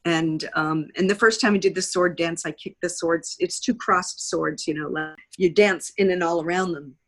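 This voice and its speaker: A woman's voice: lowish voice, steady tone, moderate pace. A woman in her sixties with an American accent, telling personal stories as an interview guest, often acting out what people said. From United States. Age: 60s. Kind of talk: anecdotal interview storytelling.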